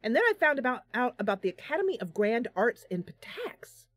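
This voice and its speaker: A woman's voice: fairly high pitch, big pitch swings, a little fast, no echo, clean studio sound. A woman voicing an eager, starry-eyed young female bard in a fantasy game, telling things in a gushing, emphatic way. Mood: bright, eager.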